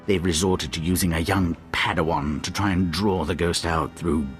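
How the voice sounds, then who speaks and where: Guy acting slimy fawning sith informant, really low voice, video game dialogue with music behind. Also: steady tone, moderate pace, lightly acted. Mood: sly, conspiratorial. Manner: unctuous, hesitant, self-deprecating. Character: obsequious, scheming male Sith informant, sly and fawning.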